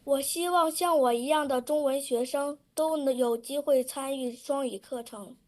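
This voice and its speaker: Woman, high pitch, steady recited statement. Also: moderate pace. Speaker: student public speaker. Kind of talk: reading a prepared statement.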